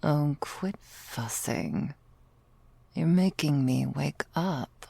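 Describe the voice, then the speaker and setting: A woman playing a drowsy, possessive, affectionate ancient vampire girlfriend in a soft, breathy ASMR roleplay, speaking languidly in formal, old-fashioned phrases with a teasing manner, close to the microphone. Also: sits low, very slow, no echo, heavily acted. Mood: smug, cosy. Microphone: close microphone, dry.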